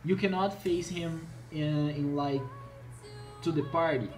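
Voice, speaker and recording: A man's voice: on the high side, measured pace, darkish tone. Brazilian-accented man over music. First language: Portuguese. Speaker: gaming video creator. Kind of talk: casual game tutorial commentary.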